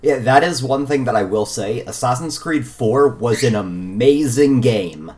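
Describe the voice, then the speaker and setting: A man's voice: mid-range voice, expressive, measured pace, a little mellow. A man co-hosting a gaming podcast, explaining his views in informal, casual chat. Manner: informal, sweary, reasoned explaining. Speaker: podcast co-host.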